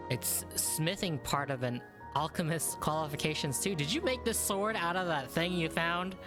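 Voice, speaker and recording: A woman's voice: low voice, lively intonation, moderate pace, music in the background. A woman giving casual, playful, informal commentary while reacting to a video game. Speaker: gamer reacting to game cutscenes.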